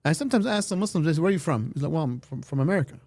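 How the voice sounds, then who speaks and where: A man's voice: on the high side, racing, no echo, clean studio sound. A man speaking on a played podcast clip, rambling in casual conversation with frequent restarts. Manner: rambling, restarts often, animated.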